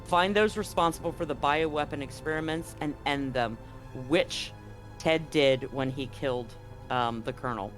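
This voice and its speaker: A man's voice: a little high, moderate pace, fairly bright tone, soft background music. A man as game master of a tabletop roleplaying game, explaining rules and recapping in a chatty way with frequent ums.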